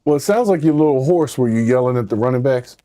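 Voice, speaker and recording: Easygoing male podcast host; warm, dark tone, dry mic. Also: medium pitch, expressive, fast talker, no room sound. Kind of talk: casual interview.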